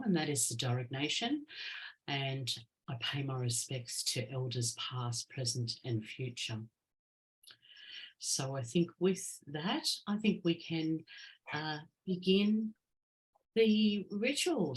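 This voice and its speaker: Low-voiced Australian woman hosting. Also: rough recording. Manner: friendly, hesitant with many fillers. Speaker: ritual host. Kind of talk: online ritual welcome.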